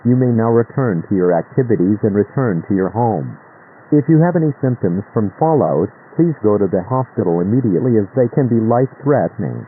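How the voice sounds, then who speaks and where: A man's voice: on the low side, animated, brisk. A synthetic-sounding man reading a scripted emergency announcement over the radio. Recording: tinny narrowband radio with faint noise.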